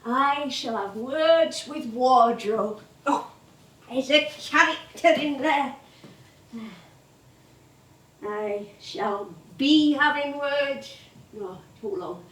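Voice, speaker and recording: An actress playing a feisty, chatty old music-hall comedienne with a Northern English accent, in a bantering stage-play style. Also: female voice, sits high, drawn-out words, long pauses, a bit bright, heavily acted. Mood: grumbling. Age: older. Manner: colloquial, bantering, theatrical. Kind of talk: live stage play acting. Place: theatre stage, recorded from a distance. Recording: roomy stage recording, distant mic.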